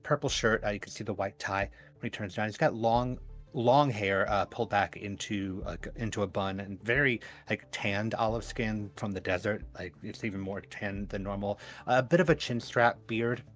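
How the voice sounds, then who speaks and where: A man playing a tabletop game, casually improvising a description in a halting way, searching for words. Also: fairly low pitch, fairly bright tone, faint music behind. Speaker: tabletop roleplaying player.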